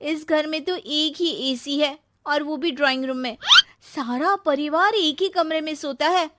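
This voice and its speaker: A woman's voice: high-pitched, a little slow, heavy background noise, voice pushed up. A woman playing a distressed young married daughter in a story, plaintive and emphatic. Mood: plaintive complaining.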